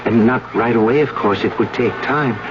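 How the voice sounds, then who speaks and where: An actor playing a polite, earnest young male student, gentle and a little hesitant, in a 1970s radio drama. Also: mid-range voice, normal speed, natural voice. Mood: astonished, concerned. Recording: dull 1970s radio recording with music.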